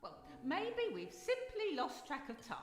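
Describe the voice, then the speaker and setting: An actress playing a brisk, dismissive upper-class English wife with a plummy, theatrical delivery in a live period radio drama. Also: female voice, extremely high pitch, wildly swooping pitch, music in the background, full character voice. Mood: uneasy. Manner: theatrical, plummy, old-fashioned phrasing. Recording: echoey hall, faint noise.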